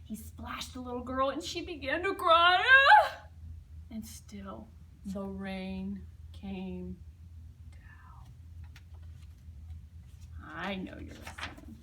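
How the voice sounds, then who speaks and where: A woman reading a children's picture book aloud expressively, with dramatic emphasis and hushed asides to young listeners. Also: mid-range voice, steady tone, takes it slowly, long pauses, far from the mic. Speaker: storybook reader.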